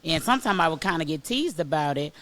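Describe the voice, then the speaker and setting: High, raspy man. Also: expressive, fast talker, a bit bright. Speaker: guest, high school alum. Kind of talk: casual reminiscing chat.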